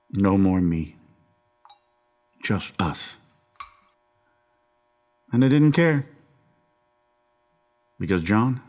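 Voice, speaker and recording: Man acting as obsessive controlling dad, middle-aged, low voice, slow delivery, folksy menace, volatile temper, horror audio drama. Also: a little mellow. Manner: folksy, coaxing, domineering.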